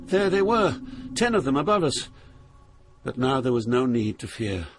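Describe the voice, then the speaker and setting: An older actor playing a solemn poet, narrating his journey through hell in a measured, literary way. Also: medium pitch, a little hiss or hum, natural voice. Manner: grave, measured, poetic.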